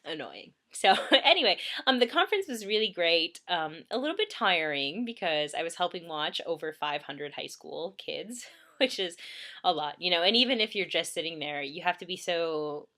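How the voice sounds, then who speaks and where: Chatty young woman, bright, a bit congested. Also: fairly low pitch, animated, moderate pace. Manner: chatty, many ums, laughs often. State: a little congested. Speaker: vlogger. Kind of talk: casual vlog chat. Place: bedroom, in bed.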